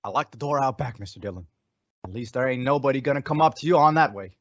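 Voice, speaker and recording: A man's voice: medium pitch, expressive, fast talker, dry recording, natural voice. A man acting a loyal, worried deputy sidekick with folksy rustic speech in an old-time radio western. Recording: dull, dry lo-fi audio.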